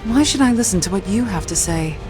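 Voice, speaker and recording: A woman's voice: medium pitch, animated, brisk, music in the background, natural voice. An actress playing a proud, wary witch in a video game, with formal, arch and sardonic phrasing. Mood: wary, curious. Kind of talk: scripted video game acting.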